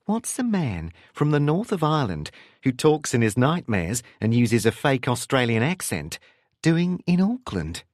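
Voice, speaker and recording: A man voicing a curious, persistent woman who probes gently in a narrated novel. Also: mid-range voice, big pitch swings, measured pace, no echo, clean studio sound, pitched above the performer's own voice. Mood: probing, tentative. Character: curious, persistent young woman, probing and smoking.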